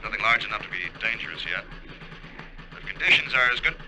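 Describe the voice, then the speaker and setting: An actor playing a steady, focused deep-sea diver, reporting in clipped, halting phrases in 1950s radio drama style. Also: male voice, vocal fry, loud music behind the voice. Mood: watchful. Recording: noisy vintage radio recording, diving ambience.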